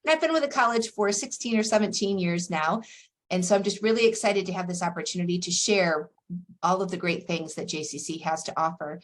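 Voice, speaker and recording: A woman's voice: on the low side, brisk. A middle-aged woman presenting on a webinar as a college administrator, enthusiastic and welcoming. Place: video call.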